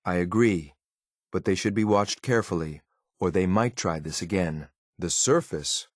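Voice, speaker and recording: An actor voicing a cold, disciplined synth hunter in a video game, speaking in a clipped, curt, formal and authoritative way. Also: male voice, sits low, normal speed, dry recording, clean studio sound. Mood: grave, resolute. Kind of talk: scripted video game voice lines.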